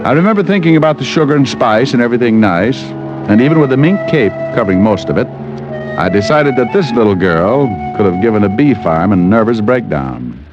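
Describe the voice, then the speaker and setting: A man's voice: mid-range voice, big pitch swings, brisk, music in the background, close to an everyday voice. A man playing a cocky, wisecracking private detective in flippant old-time radio style, on a dull old recording. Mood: wry narrating. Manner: flippant, teasing banter. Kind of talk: 1940s-50s radio detective acting.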